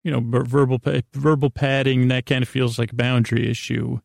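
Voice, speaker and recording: A man hosting a bedtime podcast, rambling haltingly in a creaky, soothing voice and often trailing off mid-thought. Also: medium pitch, little pitch movement, darkish tone, no echo, studio quality. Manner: soothing, lulling delivery. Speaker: podcast host.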